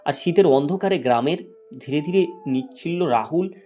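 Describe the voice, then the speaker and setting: A man's voice: a little high, normal speed, warm tone. A man reading a scripted fairy tale as its storyteller. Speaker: storyteller, narrator.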